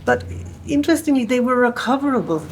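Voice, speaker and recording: A woman, speaking on a panel in a thoughtful way, with many pauses and fillers. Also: high-pitched, sing-song, a little hiss or hum. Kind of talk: panel discussion.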